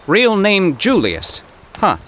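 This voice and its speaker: An actor playing a pompous, easily flustered professor from the 1930s in a comic audio drama, with formal, old-fashioned diction. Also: male voice, high voice, wildly swooping pitch, slow pace, fairly bright tone, a little hiss or hum, heavily acted. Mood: baffled. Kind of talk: period audio drama comedy acting.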